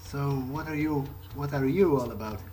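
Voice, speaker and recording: A man's voice: mid-range voice, normal speed. A man talking casually and hesitantly in character as he plays through a roleplaying game. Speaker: player character in a roleplay playthrough. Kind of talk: in-character game dialogue, casual improvised speech. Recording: game music behind the voice. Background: video game music.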